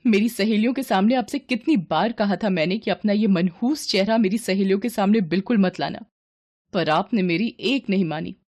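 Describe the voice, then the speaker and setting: A woman's voice: medium pitch, normal speed, darkish tone, close to an everyday voice. A woman plays a demanding young wife in a cartoon family melodrama. She is spiteful and indignant, pressing every complaint with dramatic insistence. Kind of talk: melodramatic Hindi cartoon story acting.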